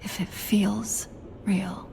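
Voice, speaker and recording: A woman's voice: medium pitch, flat delivery, extremely slow, creaky, mellow, whispered. A woman playing an intelligent, wry, wistful AI companion in a cinematic video game, with an American accent. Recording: music behind the voice.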